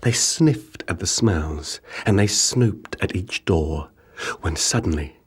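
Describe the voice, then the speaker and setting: A man reading children's rhyming stories as an audiobook narrator, with measured, rhythmic, theatrical delivery. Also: sits low, animated, slightly rough. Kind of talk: scripted children's storybook narration in rhyming verse.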